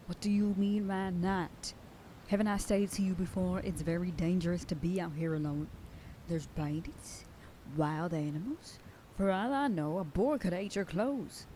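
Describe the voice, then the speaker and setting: A stern, protective werewolf sheriff girlfriend, played by a woman, bossy and scolding. Her voice sits low and mellow. A faint hiss of noise lies under the recording. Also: normal speed, low-quality recording. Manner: scolding, bossy then whispering tenderly. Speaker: voice actor. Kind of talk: F4M ASMR roleplay.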